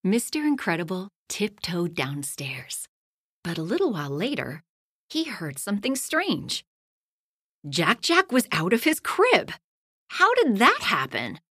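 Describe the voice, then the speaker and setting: Woman narrator for a kids' storybook read-along: unhurried, very expressive, dry close sound. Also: medium pitch, slightly rough, dry recording. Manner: slow, lively storytelling, hushed at bedtime lines and loud in action. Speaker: storybook reader. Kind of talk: read-aloud children's bedtime story.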